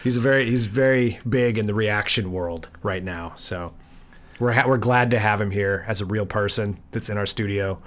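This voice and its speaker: A man's voice: fairly low pitch, normal speed, fairly bright tone. A man hosting a podcast in casual, joking banter with a dry, tongue-in-cheek manner. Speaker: podcast host.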